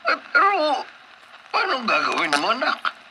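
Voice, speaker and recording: A man's voice: very high-pitched, wildly swooping pitch, a little slow, full character voice. An actor playing a worried father of a grown son in a radio drama, anxious and dramatic. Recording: noisy radio broadcast.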